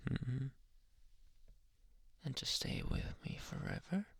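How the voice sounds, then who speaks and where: Man acting as jealous yandere anime boyfriend, ASMR roleplay, breathy whispers, gravelly creak, slow lingering delivery, possessive and menacingly tender, close mic. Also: mid-range voice, lively intonation, dry recording, heavily acted. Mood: coaxing, menacing. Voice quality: breathy, often dropping into whispers. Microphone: very close, dry ASMR microphone.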